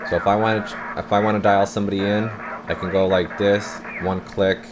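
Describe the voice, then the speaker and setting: A man's voice: fairly low pitch, flat delivery, fairly quick, background noise. A man talking casually and unscripted as he gives a laid-back, rambling radio equipment demonstration. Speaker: channel host demonstrating a CB radio. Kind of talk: casual unscripted demo talk.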